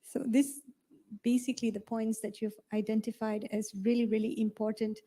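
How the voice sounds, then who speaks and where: Polite woman interviewer, mellow tone. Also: on the high side, little pitch movement. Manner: polite, measured, summarizing the guest's points. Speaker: host and interviewer. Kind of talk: university webinar interview.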